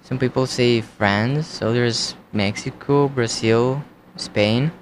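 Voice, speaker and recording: A young man with a Colombian accent hosting a college radio show, talking in a slow, halting, casual way. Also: medium pitch, slight background noise. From United States. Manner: slow, unhurried, halting delivery.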